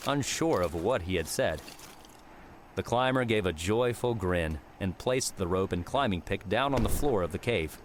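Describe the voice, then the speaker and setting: A man's voice: sits low, slight background noise. A man reading scripted third-person fiction as an audiobook narrator. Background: prominent music with outdoor ambience.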